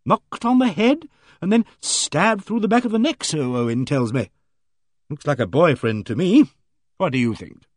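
A man voicing a loud, blunt, self-assured middle-aged woman who booms her opinions. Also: animated, moderate pace, no echo, clean studio sound. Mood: brisk, booming. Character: booming, bossy, complacent middle-aged woman.

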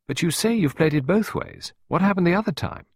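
A man's voice: high voice, expressive, a little fast, breathy edge, no room sound, pitched above the performer's own voice, exaggerated voice. A man voicing a polite, earnest male suitor in an audiobook, rueful and lightly ironic. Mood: amused, curious. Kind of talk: audiobook character voice.

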